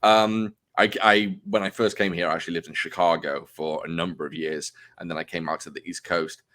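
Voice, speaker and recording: A man's voice: low voice, fairly even intonation, a little fast, fairly bright tone. A man from North Wales with a British accent that sounds more English than Welsh, chatting casually and repeating words as he explains. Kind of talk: casual chat.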